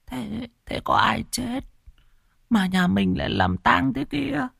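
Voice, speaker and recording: A man voicing a frail elderly woman risen from her deathbed, confused and fretful, with a thin, breathy, quavering voice. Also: high-pitched, wildly swooping pitch, slow pace, pushed and bright, no room sound, clean studio sound, voice pushed up, exaggerated voice. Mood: anxious, tearful.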